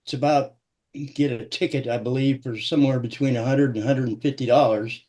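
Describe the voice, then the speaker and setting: A man's voice: medium pitch, fairly even intonation, brisk, dark tone. A man co-hosting a casual live stream with his wife, answering viewer questions with dry self-deprecating humor. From United States. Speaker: co-host, host's husband.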